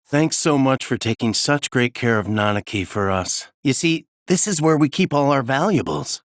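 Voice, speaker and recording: An actor voicing a friendly, polite storeroom keeper in a video game. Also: male voice, mid-range voice, lively intonation, moderate pace, dry recording, studio quality, lightly acted. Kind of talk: video game character lines.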